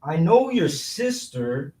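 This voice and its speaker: A man's voice: on the high side, very animated, slow, mellow. An actor playing a scheming, broke young city man in a staged reading, talking in slangy, persuasive street phrasing. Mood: insistent, persuasive. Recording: roomy echo, distant microphone.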